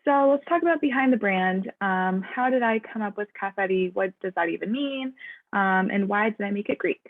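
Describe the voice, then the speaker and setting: A woman's voice: mid-range voice, expressive, moderate pace, dry recording. A young woman presenting her own fashion brand over slides, explaining her ideas earnestly with lots of "um" fillers. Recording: dry, tinny phone-like sound.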